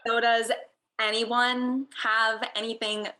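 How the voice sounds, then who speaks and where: A woman plays a vacuous female publicist at a table read. Her voice is very high and bright, and she announces things slowly and haltingly, with an empty-headed air. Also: little pitch movement. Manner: halting, airheaded announcer delivery. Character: vacuous female Hollywood PR rep. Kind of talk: acted screenplay table read.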